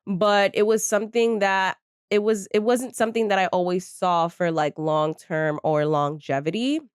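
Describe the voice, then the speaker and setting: A woman's voice: medium pitch, steady tone, moderate pace, clear, resonant tone, dry recording, clean studio sound. A woman of thirty talking candidly and casually to her viewers from her bedroom, chatty and swearing freely into a desk microphone. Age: 30s. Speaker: channel host. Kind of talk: casual vlog talk to viewers.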